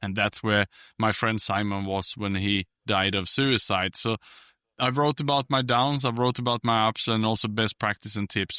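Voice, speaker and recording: A man from Sweden with a Swedish accent, talking reflectively as an interview guest in a measured, earnest way with hesitant phrasing. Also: on the low side, pushed and bright, clean tone, no echo, studio quality. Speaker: podcast guest, author and coach.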